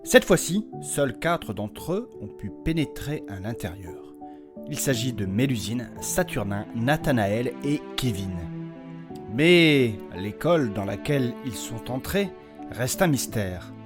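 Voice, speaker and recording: A man's voice: medium pitch, sing-song, slow. A man narrating a fantasy audio saga in a solemn, dramatic scripted storyteller style. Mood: solemn suspense. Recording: music behind the voice.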